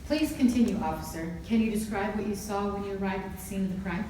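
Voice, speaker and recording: A woman's voice: mid-range voice, quick pace, mellow, natural voice. A woman acting a formal, probing prosecutor in an amateur courtroom drama. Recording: very echoey room, distant, faint noise.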